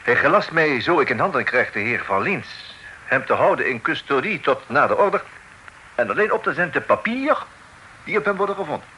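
A very sharp, bright male voice in a slightly noisy old radio play, a vain lawman. He is pompous and fussy, and sprinkles his official speech with French flourishes. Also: a little high, very animated, fairly quick. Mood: officious, flustered. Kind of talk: Dutch radio drama acting.

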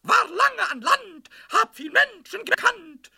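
A man voicing a small, cheeky, excitable male kobold in a children's radio play, squeaky and croaky, stammering and breaking into sing-song rhymes. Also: slow, heavily acted. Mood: jubilant chanting. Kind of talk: 1970s German children's radio play acting.

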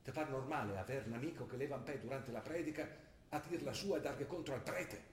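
A man's voice: mid-range voice, fast, a little hiss or hum, close to an everyday voice. A man playing a stern Trentino father. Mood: reproachful. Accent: Trentino dialect. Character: stern Trentino father scolding his daughter. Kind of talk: stage play broadcast on radio. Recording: distant, echoey stage recording with music.

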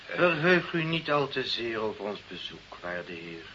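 Radio drama role: haughty, scheming official, tense slightly high voice, dull old recording. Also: male voice, sing-song, unhurried, bright, forceful tone, slight background noise, heavily acted. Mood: stern, haughty. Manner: officious, condescending. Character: stern, officious, scheming director, an authority figure. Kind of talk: radio play acting.

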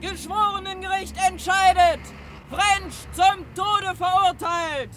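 An actor playing a young newspaper seller crying out headlines on the street in a 1960s radio drama. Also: male voice, extremely high pitch, steady tone, takes it slowly, full character voice. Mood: urgent hawking. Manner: loud, repetitive street-crier calling. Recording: old radio play, street and car noise.

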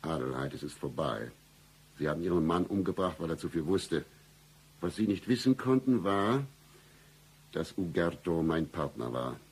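A hard-boiled private eye in a noir radio satire. His voice is extremely low and thoroughly husky. He is cynical and menacing, delivering absurd lines with deadpan gravity. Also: moderate pace, full character voice. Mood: grim, accusing. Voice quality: very deep, husky, gravelly. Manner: deadpan, accusing, melodramatic. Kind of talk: noir satire radio play acting and narration.

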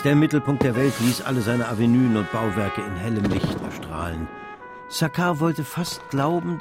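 An actor playing a grave, vivid literary narrator in a radio drama, measured and dramatic. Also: male voice, medium pitch, close to an everyday voice.

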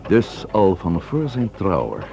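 An actor playing a dry, understated and persistent police inspector in a radio drama. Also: male voice, low voice, huge pitch swings, takes it slowly, gravelly, mellow, exaggerated voice. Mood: probing. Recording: noisy old radio play recording.

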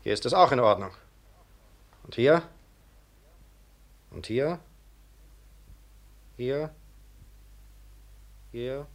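An actor playing a police sergeant who questions people insistently in a 1950s radio mystery. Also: male voice, medium pitch, expressive, very slow, long pauses, slight background noise. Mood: businesslike, impatient. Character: probing police sergeant investigating a murder. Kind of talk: 1950s German-language radio mystery acting. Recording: 1950s radio recording with music.

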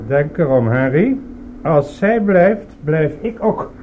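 A man's voice: fairly high pitch, animated, slow, slight background noise. An actor playing a stubborn henchman in a radio drama, blunt and insistent in manner. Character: stubborn male henchman, loyal to his woman. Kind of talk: Dutch radio drama acting. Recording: tinny, narrow-band radio play recording.

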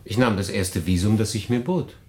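A man's voice: lowish voice, moderate pace, a little mellow, natural voice. A man playing a terse, guarded refugee. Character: guarded male refugee seeking a visa. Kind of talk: radio drama acting.

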